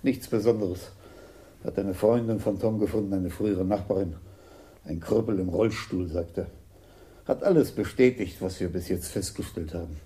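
An actor playing a businesslike police detective in a German radio crime drama, brisk and probing. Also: male voice, sits low, dark tone, natural voice. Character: businesslike male police detective questioning people.

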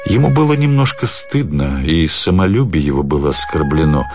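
Male narrator, deep pitch, expressive phrasing, dramatic literary reading, radio production, music underneath. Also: normal speed.

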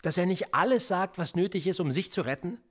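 An actor playing a calm, manipulative male police interrogator in a radio play, pressing with pointed questions. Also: sits high, fairly quick. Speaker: police officer.

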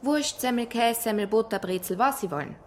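An actress playing a chatty small-town bakery shopkeeper in a radio drama, folksy and gossipy, with a Bavarian accent. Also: female voice, on the high side, brisk, lightly acted. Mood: obliging. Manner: folksy, chatty, gently disapproving. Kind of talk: naturalistic radio drama dialogue. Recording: clear ambient noise behind dialogue.

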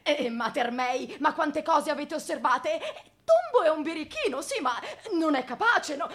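An actress playing an excitable, doting but stern old spinster in theatrical, stylized radio drama, swooping between coos and cries. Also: female voice, high voice, normal speed. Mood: fawning, flustered.

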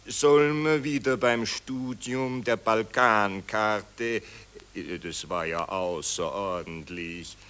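An actor playing a vain, dithering Austrian general in a satirical radio play, with a hemming, stammering, pompous delivery. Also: male voice, lowish voice, takes it slowly, fairly bright tone, clean tone. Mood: grudging, dithering. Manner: pompous, drawling, falsely modest. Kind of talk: satirical radio drama acting.

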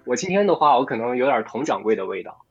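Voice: mid-range voice, big pitch swings, fast talker, highs cut off. A voice actor from Inner Mongolia, chatting casually and tentatively with castmates. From Inner Mongolia, China. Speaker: voice actor in an audio drama. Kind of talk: casual, tentative group chat.

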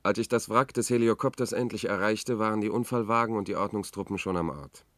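An actor playing a weary, sober journalist who narrates a tragedy in a radio drama, measured and grave. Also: male voice, on the low side, a bit bright, no echo, natural voice. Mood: weary, factual.

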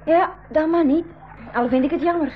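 A woman's voice: sits high, moderate pace, natural voice. An actress playing a spirited, impulsive young girl in an exclaiming radio drama style. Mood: concerned. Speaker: radio play actor. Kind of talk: 1980s Dutch-language school radio drama acting. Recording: dull, noisy old radio recording.

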